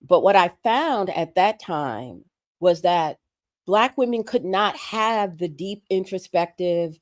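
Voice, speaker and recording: A hoarse woman, sick with a respiratory virus, making earnest, emphatic points as a guest on a livestream conversation. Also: lowish voice, expressive, takes it slowly, dry recording. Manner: earnest, emphatic, building points through repetition. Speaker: guest commentator.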